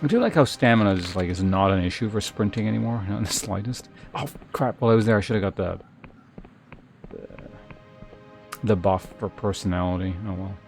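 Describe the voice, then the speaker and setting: A man's voice: fairly low pitch, brisk, creaky, darkish tone, faint music behind. A man giving casual, rambling gameplay commentary as a streamer, thinking aloud as he plays. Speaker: game streamer.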